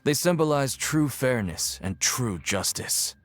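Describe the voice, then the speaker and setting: An actor playing a gruff, cynical, streetwise inmate who works as a prison guide in a video game, curt and jaded in manner. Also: male voice, medium pitch, lively intonation, measured pace, natural voice. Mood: matter-of-fact, reflective. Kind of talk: video game character acting. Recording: game music behind the voice.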